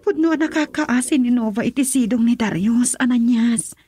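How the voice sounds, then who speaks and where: Actress playing distressed young woman, high voice, urgent, pleading, radio drama. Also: moderate pace, warm tone, close to an everyday voice. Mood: pitying, urgent.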